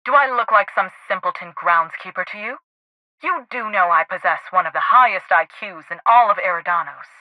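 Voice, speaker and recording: Casting: haughty video game lab director, played by a woman, formal and high-strung, mid-range pitch, close dry sound. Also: lively intonation, fairly quick, no room sound, muffled recording, lightly acted. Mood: indignant, haughty. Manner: pompous, formal, precise diction. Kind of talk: video game character dialogue.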